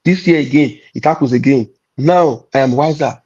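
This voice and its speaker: A man's voice: mid-range voice, normal speed, mellow, husky, dull audio. A Nigerian man, a comedian, talking candidly in a conversation, emphatic and repetitive, slipping into Nigerian pidgin.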